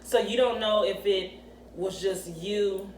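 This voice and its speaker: A woman's voice: mid-range voice, steady tone, darkish tone. Woman in her thirties, candid chat. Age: 30s. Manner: emphatic, conversational, quick back-and-forth. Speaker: co-host. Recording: echoey room with faint ambient noise.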